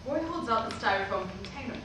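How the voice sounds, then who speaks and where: A woman's voice: on the high side, lively intonation, fast. A woman reading out scripted stage directions as narrator of a play reading. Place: indoor theatre stage. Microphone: distant camera microphone. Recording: echoey stage recording, far off, noisy.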